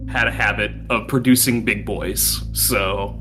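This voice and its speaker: A man playing a tabletop game, chatting informally and thinking out loud, with a sweary streak. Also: on the low side, fairly even intonation, unhurried. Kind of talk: casual game chat. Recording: music playing behind the voice. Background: music.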